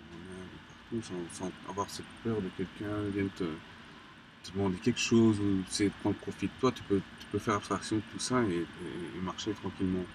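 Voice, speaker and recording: A young man from Colombia, an interviewee, talking casually in halting phrases full of restarts and fillers. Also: low voice. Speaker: graffiti artist, interviewee. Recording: distant mic with background noise.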